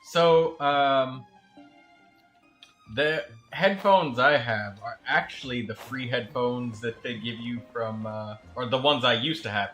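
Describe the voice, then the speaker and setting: A man chatting casually as a game streamer, telling a story in a rambling, halting way. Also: medium pitch, steady tone, a bit bright, faint music behind. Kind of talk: casual livestream chat.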